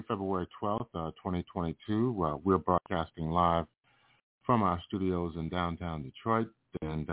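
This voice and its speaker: A man with a very low voice hosts a live radio broadcast. He talks haltingly and deliberately, pausing often to hesitate. The sound is thin and phone-like, with a roomy echo. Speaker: radio host. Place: radio studio.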